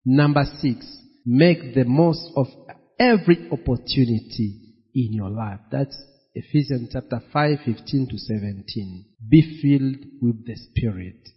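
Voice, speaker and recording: A pastor preaching a sermon, slow and emphatic, repeating his key phrases. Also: mid-range voice, husky. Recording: dull, echoey hall.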